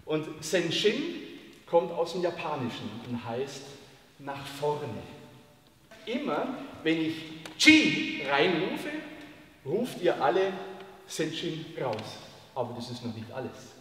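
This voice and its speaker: Animated coach in an echoey gym. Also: male voice, on the high side, slow, slight background noise. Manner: animated, calls out chants. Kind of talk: motivational lecture to a group. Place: large wood-panelled sports hall. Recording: echoey sports hall from far off.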